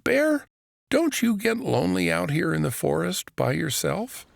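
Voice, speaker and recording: Man putting on a high, whiny little boy. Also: very animated, measured pace, creaky, no room sound, clean studio sound, heavily acted. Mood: whiny, puzzled. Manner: whiny, exclaiming. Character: young runaway boy, whiny, defiant, earnest. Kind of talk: children's storybook character voice.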